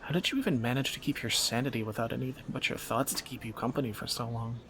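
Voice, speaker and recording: A man's voice: mid-range voice, steady tone, fast, a crowd in the background, natural voice. A man playing a wry, flirtatious thief on the run in a romantic audio drama, speaking in teasing, sardonic banter to a companion.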